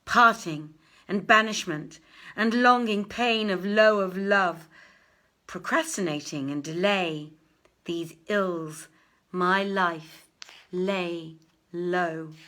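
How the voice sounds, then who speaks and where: A woman's voice: on the low side, slow, pushed and bright. A woman voicing a sorrowful, humble young merchant in a plaintive, declamatory reading of an archaic tale. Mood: lovelorn, grieving. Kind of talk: dramatic storybook reading of archaic verse and dialogue.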